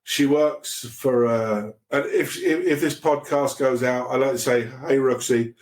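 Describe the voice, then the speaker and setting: A man's voice: medium pitch, a little slow. A man guesting on a podcast over a video call, chatting casually in a rambling way with many restarts. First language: English. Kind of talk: casual chat. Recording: echoey room.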